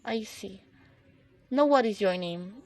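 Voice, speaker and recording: A woman's voice: medium pitch, expressive, slow pace, a little mellow, no echo. A young woman acting in a student radio drama as a placating, dismissive police officer on duty. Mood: businesslike.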